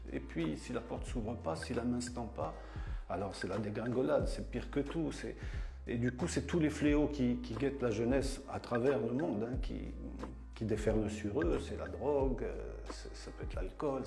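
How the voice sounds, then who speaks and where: A middle-aged man talks emphatically in an interview, repeating key words to drive them home. His voice sits at an ordinary pitch and rings in a roomy space. Music plays clearly behind him. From France. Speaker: association leader. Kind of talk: interview monologue. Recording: echoey room with music.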